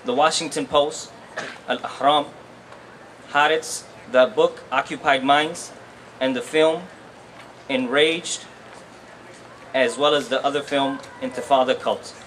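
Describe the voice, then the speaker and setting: A man's voice: medium pitch, fairly even intonation, slow, pauses often, fairly bright tone. Man making formal event announcements, noisy recording. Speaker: event emcee introducing a speaker. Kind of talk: formal announcements and introduction, read from notes.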